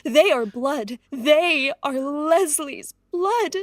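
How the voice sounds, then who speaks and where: A high-voiced, pleading, distraught mother. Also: expressive, no room sound, clean studio sound, exaggerated voice. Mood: grieving, earnest. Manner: halting, emotional, earnest. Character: distraught, pleading mistress, devoted mother of two sons. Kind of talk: period audio drama acting.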